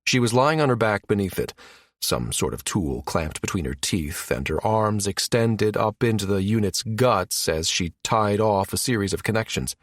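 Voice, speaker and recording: A man's voice: lowish voice, lively intonation, normal speed, no echo, studio quality. A man reading a science fiction audiobook as scripted narration.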